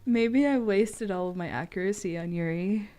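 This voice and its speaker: A woman with a medium-pitched voice talks casually as she does tarot readings for a stream. She is playful and swears easily, switching between chatty asides and card interpretations. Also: moderate pace, mellow, slight background noise. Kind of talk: casual chat mixed with reading aloud from a tarot book.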